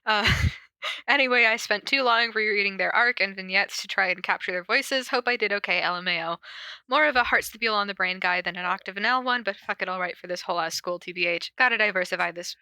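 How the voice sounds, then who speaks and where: Bright-voiced woman, casual and giggly. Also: mid-range voice, fast, clear, resonant tone, dry recording. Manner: giggly, informal. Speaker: podcast host reading fan fiction aloud. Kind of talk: casual chat and cold reading of fan fiction.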